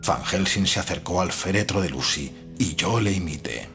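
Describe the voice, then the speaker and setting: A man's voice: deep voice, lively intonation, normal speed. A man reading gothic fiction as an audiobook narrator, in a scripted, dramatic style. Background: faint music.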